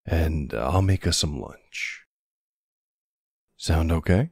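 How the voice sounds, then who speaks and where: Man playing a very deep, gravelly older boyfriend. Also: takes it slowly, darkish tone, no room sound, studio quality, full character voice. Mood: tender, reassuring. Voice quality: husky, gravelly. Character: protective, gruff, tender older boyfriend. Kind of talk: ASMR boyfriend audio roleplay.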